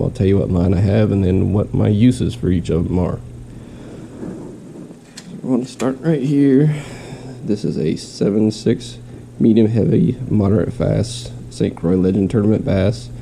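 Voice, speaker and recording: Deep gravelly man with a stuffy nose. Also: normal speed, dark tone, a little hiss or hum. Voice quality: nasal. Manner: rambling, with restarts. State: stuffy nose, slight cough. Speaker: fishing vlogger. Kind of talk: casual show-and-tell. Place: home, in quarantine.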